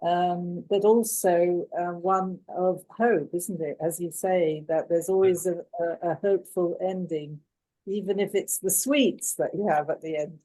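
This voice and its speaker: A woman with a low, mellow voice hosts a talk and asks her questions politely and haltingly, with plenty of fillers. Her tone stays soft and unhurried throughout. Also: fairly even intonation, rough recording. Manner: hesitant, polite, many fillers. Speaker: host, moderator. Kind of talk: hosting a talk and asking questions.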